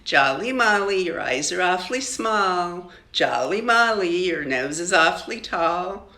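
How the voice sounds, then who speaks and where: A woman's voice: on the low side, animated, measured pace, pushed and bright, full character voice. An older woman voicing a snooty, mocking schoolgirl bully in a storybook, with sneering delivery. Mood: mocking singsong. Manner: sneering, singsong taunts. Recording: roomy, echoing home recording.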